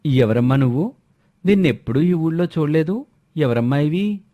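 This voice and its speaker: Man as kindly village man, mellow voice. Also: a little high, lively intonation, moderate pace, lightly acted. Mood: curious. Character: concerned, kindly village man addressing a young woman. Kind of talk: Telugu moral story character acting.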